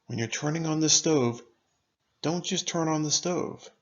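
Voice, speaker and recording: A man narrating a scripted guided meditation in a measured, instructive manner, pausing deliberately between phrases. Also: on the high side, highs cut off.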